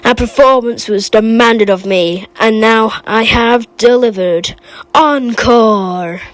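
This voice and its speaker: Woman playing a loud, pompous animatronic stage star. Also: fairly high pitch, slow, no room sound. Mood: grandiose. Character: grandiose theatrical animatronic diva performer. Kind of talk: fan recital of game voice lines.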